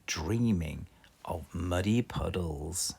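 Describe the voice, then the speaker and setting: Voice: low voice, slow, gravelly, a little mellow, slightly rough. A storybook narrator with a British accent, reading aloud expressively for children.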